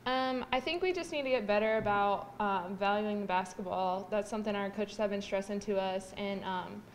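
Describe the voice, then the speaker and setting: A woman's voice: medium pitch, a little hiss or hum. Young woman athlete at a press table. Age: young adult. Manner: measured, with frequent fillers. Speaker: college basketball player. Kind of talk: postgame press conference answers. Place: press room, indoors. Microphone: table mics in front.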